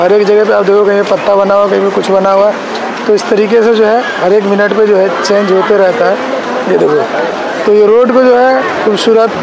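A man giving a chatty walking vlog by a busy seaside road at night, pointing things out to viewers as he films on a handheld phone. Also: high voice. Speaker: vlogger. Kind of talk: casual walking vlog commentary. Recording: outdoor phone recording with traffic noise.

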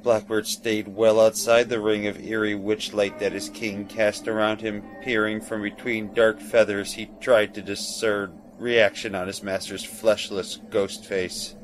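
Low-pitched male fantasy narrator. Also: level tone, measured pace, hardly any pauses, poor audio. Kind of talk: scripted dramatic fantasy narration. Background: music.

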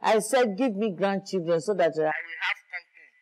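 Man doing a high-voiced nagging Nigerian mum, reproachful, guilt-tripping. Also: fairly quick, lightly acted. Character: nagging Nigerian mother wanting grandchildren. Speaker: mother.

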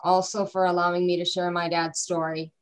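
A woman's voice: fairly low pitch, level tone, fairly quick. A woman giving earnest, heartfelt thank-you remarks as a guest speaker. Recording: video call with guitar music behind.

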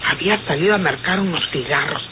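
A woman's voice: sits low, quick pace, lightly acted. An actress playing an anxious, talkative woman in an old radio melodrama, with a Mexican accent. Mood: gossipy, confiding. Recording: very noisy, tinny old radio recording.

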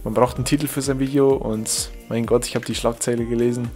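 A young man from Germany, a gaming YouTuber chatting casually over his gameplay, rambling and correcting himself, reacting loudly to the game. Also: medium pitch, steady tone, quick pace, soft background music.

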